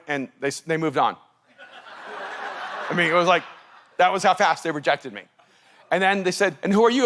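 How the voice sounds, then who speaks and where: A man from El Salvador, a native Spanish speaker, preaching as a pastor and telling a story in an animated, laughing manner. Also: high-pitched, a little hiss or hum. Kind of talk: storytelling sermon.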